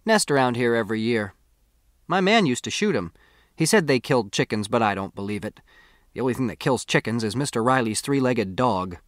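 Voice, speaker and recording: A man's voice: medium pitch, lively intonation, a little fast, dry recording, studio quality, lightly acted. A man voicing a chatty, bossy old country woman in an audiobook, with rambling, folksy, insistent talk. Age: older.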